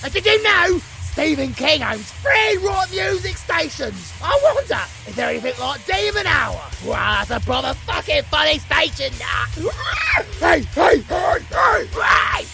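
A very high, piercing voice tears out over music: a British vampire-werewolf mutant, gleefully unhinged. He shouts and rambles in theatrical audio-drama bursts, bright and forceful. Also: very animated, measured pace, gravelly, full character voice. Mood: excited, rambling. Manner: shouting, rambling, cackling, fourth-wall-breaking trivia patter. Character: manic chaotic male undead vampire-werewolf half-breed, gleefully unhinged.

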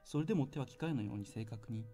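A man playing an uneasy teenage boy, giving measured first-person narration in an audio drama. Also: medium pitch, animated, mellow, soft background music, voice pushed down, natural voice. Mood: subdued, uneasy.

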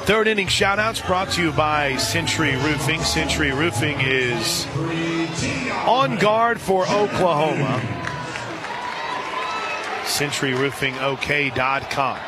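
A man doing live radio play-by-play of softball in a conversational way that can burst into excited calls. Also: a little high, slow pace, pauses often. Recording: crowd and music behind broadcast. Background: stadium crowd, music.

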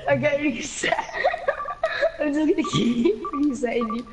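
A man's voice: very high-pitched, unhurried, loud music behind the voice. A teenage boy playing an online game with friends, swearing often and breaking into laughter. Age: teens. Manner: excitable, swearing, bursts of laughter. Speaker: gamer streaming a console game. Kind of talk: casual chat while playing online with friends. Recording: loud background game noise.

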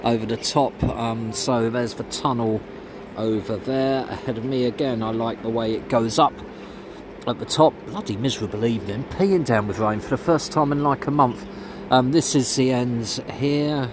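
A man's voice: mid-range voice, moderate pace. A man giving a casual walking commentary as a vlogger, talking with lots of ums while he films on his phone around a big football stadium. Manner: chatty with many ums. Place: outdoors and inside a large football stadium. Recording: noisy outdoor stadium recording.

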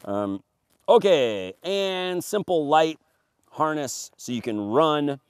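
A man in his forties pitching his gear with a sales-pitch punch, his voice leaping up and down in lively swings. He stands out in an open field, and the sound is dry with no room around it. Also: slow pace, clear, resonant tone, no room sound. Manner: emphatic, salesman-like, reading points off a sheet. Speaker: paramotor maker demonstrating his gear. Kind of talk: promotional product walkthrough. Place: open grassy field outdoors by a fence. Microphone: camera at a few meters, standing and gesturing.

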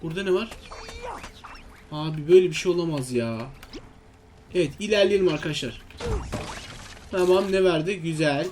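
Male gaming host, high voice, chatty and full of exclamations and questions to himself, faint noise behind. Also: normal speed, pauses often, a little mellow. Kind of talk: casual let's-play commentary to viewers.

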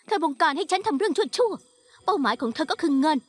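A woman's voice: very high-pitched, lively intonation, fast, no room sound. An actress playing a distraught, insistent young woman in a dubbed TV melodrama, pleading and emotional. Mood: indignant. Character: distraught, insistent young woman fighting for her father's inheritance.

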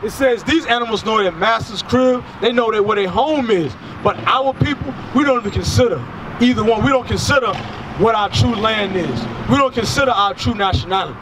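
A man's voice: high-pitched, brisk. A man in his thirties preaching outdoors by a street into a handheld mic, emphatic and full of rhetorical questions. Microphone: handheld microphone. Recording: outdoor traffic noise. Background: passing cars.

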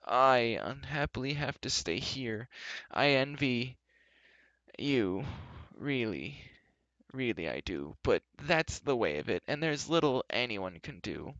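Casting: a man as a nervous, evasive fairy-tale creature, moaning and self-pitying, bright tense voice, lo-fi sound. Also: mid-range voice, big pitch swings, slow, no echo, full character voice. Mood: falsely obliging.

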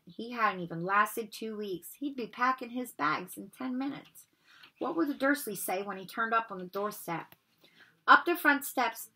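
A woman in her forties reading a children's novel aloud in an expressive storytelling style. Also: fairly high pitch. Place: bedroom at home, indoors.

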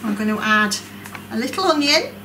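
A woman in her forties calmly demonstrating a recipe step by step as a cooking instructor, recorded from a distant camera in a large college kitchen classroom. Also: a little high, moderate pace. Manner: calm, clear, instructive. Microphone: distant camera mic. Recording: echoey room, voice from afar. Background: kitchen clatter and room noise.

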